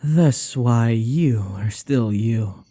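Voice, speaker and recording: A man playing a possessive, teasing vampire in an ASMR roleplay, with a breathy voice that often drops to a whisper and a coaxing, taunting manner. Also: mid-range voice, very slow, mellow. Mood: taunting, playful. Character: possessive, teasing male vampire who hypnotizes his captive.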